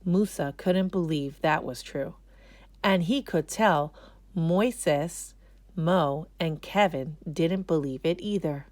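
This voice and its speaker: A woman reading a children's story aloud as an expressive narrator. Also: sits low, takes it slowly, dry recording. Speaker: storybook reader.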